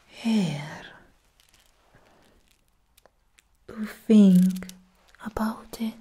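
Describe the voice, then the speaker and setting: An imperious goddess of war, played by a woman, whispers in an ASMR roleplay as a menacing would-be queen. Her voice is very breathy and dark, close on the mic. She speaks extremely slowly and deliberately, as if every word is a command. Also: medium pitch, full character voice. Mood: coolly regal. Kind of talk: soft-spoken ASMR roleplay.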